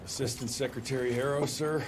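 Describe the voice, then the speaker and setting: A man's voice: mid-range voice, fairly even intonation, brisk, background noise, natural voice. A man playing a blunt vampire-hunting agent with an American accent in a video game, terse and dry. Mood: respectful, insistent. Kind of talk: video game cutscene acting. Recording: loud music under the voice.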